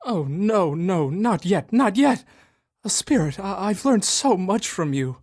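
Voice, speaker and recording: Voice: mid-range voice, lively intonation, unhurried, a little mellow. An actor playing an old miserly man who pleads anxiously with a spirit, in a student radio drama. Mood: pleading.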